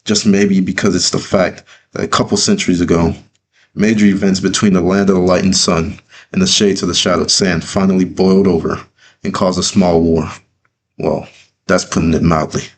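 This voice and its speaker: A man reading his own story as a dramatic first-person narration, earnest, with deliberate pauses. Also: low-pitched.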